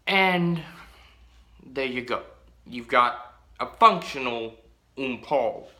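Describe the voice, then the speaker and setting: Young guy rambling slow about his pipe, bright voice, lots of ums, echoey little room. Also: medium pitch, expressive. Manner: rambling, drawn-out, many ums. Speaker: pipe-collecting vlogger. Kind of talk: casual show-and-tell. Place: small home room or workshop.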